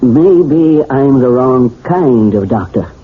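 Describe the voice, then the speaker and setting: Husky, folksy family doctor. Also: male voice, mid-range voice, expressive. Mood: tactful, advising. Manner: halting, folksy, tactful. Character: folksy, tactful family doctor giving cautious advice. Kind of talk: old-time radio drama acting. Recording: tinny, narrow old radio broadcast.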